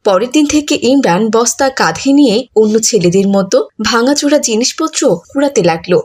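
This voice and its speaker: A woman's voice: fairly high pitch, lively intonation, a little fast, a little mellow. A woman reading scripted narration for a cartoon story.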